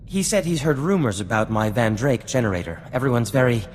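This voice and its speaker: An actor playing a vain, refined scientist-inventor in a video game cutscene, speaking in a formal, theatrical and florid way. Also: male voice, mid-range voice, sing-song, brisk, darkish tone, a little hiss or hum, natural voice. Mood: anxious. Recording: long reverb, music underneath.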